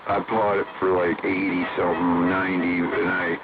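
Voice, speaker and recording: Low-voiced man on noisy CB radio. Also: flat delivery, normal speed. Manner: rambling, slangy, swears casually. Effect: heard over CB sideband radio. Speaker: amateur CB radio operator. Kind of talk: casual on-air radio chat. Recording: noisy, narrow-band CB radio transmission.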